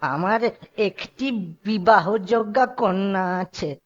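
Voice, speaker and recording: A woman voicing a poor, desperate old Brahmin man in a cartoon, halting and pleading as he repeats himself. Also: mid-range voice, highs cut off. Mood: pleading, weary. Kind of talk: cartoon voice acting.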